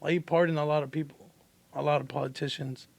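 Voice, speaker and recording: Young male co-host, higher-pitched voice, informal slangy conversation. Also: level tone, quick pace, vocal fry, no room sound. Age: young adult. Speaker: podcast co-host. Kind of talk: casual chat, slangy with swearing.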